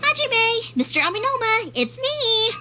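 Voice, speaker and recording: A woman's voice: very high voice, very animated, measured pace, background noise, full character voice. A woman playing a cheerful, chatty, helpful young fireworks maker in a video game, with bubbly, emphatic delivery. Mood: eager. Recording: game audio with music behind. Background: game music.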